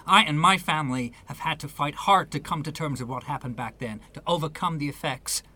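Man acting earnest, bright-voiced New man. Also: on the high side, fairly quick. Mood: indignant. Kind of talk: radio play acting.

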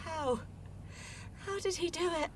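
A woman's voice: extremely high pitch, takes it slowly. An actress in a radio play voicing a wounded, sceptical young woman with a blunt, clipped way of answering. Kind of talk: naturalistic radio play acting.

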